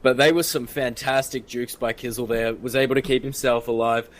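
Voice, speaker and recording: A man's voice: mid-range voice, steady tone, a little fast. A man giving live esports commentary in a jokey, slangy, playful way. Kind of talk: live game commentary with casual banter. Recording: clearly noisy background.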